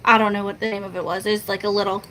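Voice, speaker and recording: A soft-spoken woman, chatting casually and laughing with her viewers in a rambling, self-mocking way. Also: little pitch movement, racing, a little hiss or hum. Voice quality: soft-spoken, high-pitched. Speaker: family vlogger.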